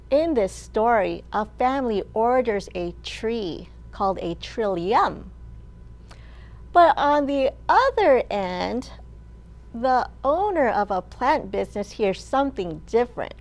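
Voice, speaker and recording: A woman librarian hosting a children's storytime, reading aloud in simple, deliberate phrases meant for young children. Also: fairly high pitch, a little mellow.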